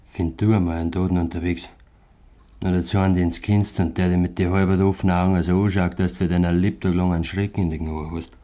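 An actor playing a sullen, gruffly defensive village man in a Bavarian dialect radio play. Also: sits low, little pitch movement, quick pace, warm tone, natural voice. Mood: defensive, shaken.

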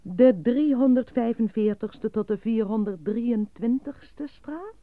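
An actress voicing a formal, matter-of-fact state broadcast announcer reading grim bulletins in a radio play. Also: female voice, a little high, slow, dry recording. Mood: official, measured. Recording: dry, dull lo-fi radio recording.

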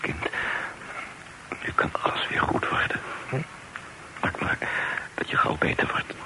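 An actor playing a forgiving middle-aged father in a radio drama, with a hushed, soothing delivery. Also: a little slow, in a whisper, natural voice. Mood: tender, moved. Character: forgiving, tender father of a grown daughter. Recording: noisy old radio play recording.

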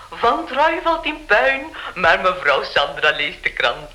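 A woman's voice: mid-range voice, bright, forceful tone. An actress playing a charming yet unsettling seventy-five-year-old castle lady in a radio play, theatrical and coquettish. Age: older. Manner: theatrical, coquettish hostess, refers to herself in third person. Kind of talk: Dutch-language radio play acting. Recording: dull, muffled radio play recording.

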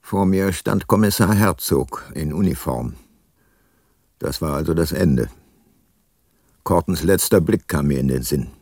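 Radio drama lead: aging, guilt-laden detective narrator, low register, reflective, dry studio sound. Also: male voice, a little mellow, slightly rough, dry recording, exaggerated voice. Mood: brooding. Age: older. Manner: measured, introspective.

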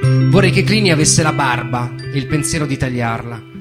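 Guy doing a dramatic stage monologue, emphatic and repetitive like an incantation, acoustic guitar loud behind him. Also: mid-range voice, lively intonation, normal speed. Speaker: stage narrator. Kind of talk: theatrical spoken monologue. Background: plucked guitar music.

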